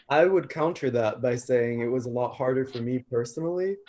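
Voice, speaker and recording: A man's voice: medium pitch, mellow. A man, a stage actor, chatting casually on an online call with faint background noise. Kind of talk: casual chat.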